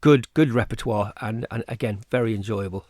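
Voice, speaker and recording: A man's voice: on the low side, a little fast, darkish tone, dry recording, clean studio sound. A man speaking as an event organiser in an informal radio interview, repeating words hesitantly as he explains. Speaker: choir festival organiser, interview guest.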